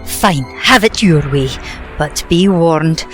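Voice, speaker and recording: A woman voicing a stern, quick-tempered witch who scolds and protects, in an amateur audio drama. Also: low voice, expressive, faint music behind. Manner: scolding, indignant.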